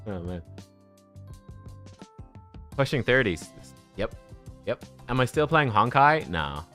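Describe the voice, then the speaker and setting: A man's voice: mid-range voice, very animated, slow pace, pauses often, pushed and bright. Man in his thirties streaming, music behind. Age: 30s. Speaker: game livestreamer. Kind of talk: casual livestream chat with viewers.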